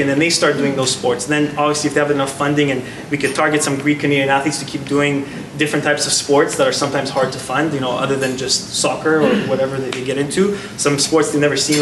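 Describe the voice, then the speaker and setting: A man in his thirties, a former athlete, answering onstage interview questions earnestly, with many restarts. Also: a little high. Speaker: guest speaker, former athlete. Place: large gala hall. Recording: echoey hall, heard from far off, noisy.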